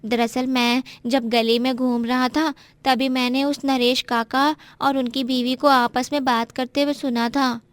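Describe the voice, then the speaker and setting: Woman voicing a high-pitched innocent little boy. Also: little pitch movement, a little slow, few pauses, dry recording. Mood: earnest. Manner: childlike, questioning. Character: anxious innocent little boy, devoted to his mother.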